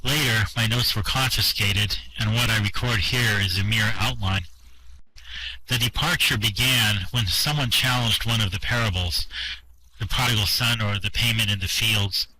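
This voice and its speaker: A man narrating a first-person story in an amateur radio drama with steady prose reading. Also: on the low side, moderate pace, a little hiss or hum, poor audio.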